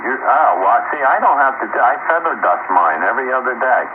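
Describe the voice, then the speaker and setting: A man's voice: medium pitch, sing-song, fast, narrow, tinny audio. Male radio hobbyist, casual and easygoing, on a noisy, phone-thin transmission. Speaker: amateur radio operator. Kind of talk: casual radio round-table chat. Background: radio static.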